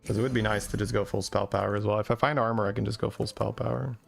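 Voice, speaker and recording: A man's voice: on the low side, steady tone, very fast, creaky. A man from Belgium with a Flemish accent, whose first language is Dutch. He streams a game live, thinking his plans aloud and tagging them with "right?". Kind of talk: live gameplay commentary. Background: faint game music.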